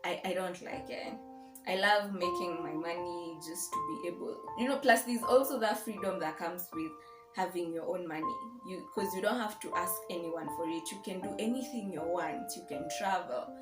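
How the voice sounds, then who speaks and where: A woman's voice: on the low side, moderate pace. A young adult woman with a Kenyan accent chatting casually and informally in a personal vlog. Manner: informal, code-switches into Swahili. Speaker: YouTube vlogger. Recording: roomy echo, music behind.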